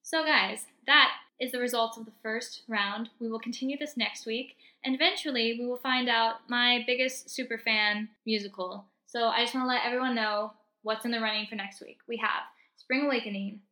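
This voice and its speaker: A woman's voice: a little high, steady tone, moderate pace, hardly any pauses, bright, forceful tone. A young woman hosting a casual solo podcast, talking in an emphatic, encouraging pep-talk way. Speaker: podcast host.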